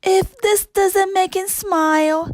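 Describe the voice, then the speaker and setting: A woman's voice: very high voice, steady tone, slow, no room sound, pitched above the performer's own voice. A woman voicing a kind, earnest young princess in a children's storybook. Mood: hopeful.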